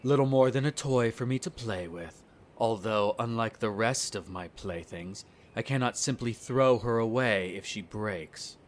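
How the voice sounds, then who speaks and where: A man's voice: fairly low pitch, unhurried, lightly acted. A man playing a smug, cruel vampire noble in an audio roleplay, speaking in a haughty, condescending, formal drawl. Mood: smug, disdainful. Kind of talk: scripted audio roleplay acting.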